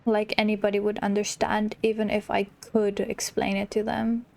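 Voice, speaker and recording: A woman reading as an overwhelmed, withdrawn heroine of a game, subdued and halting. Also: medium pitch, completely flat delivery, vocal fry, a little mellow, natural voice. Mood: sad, withdrawn.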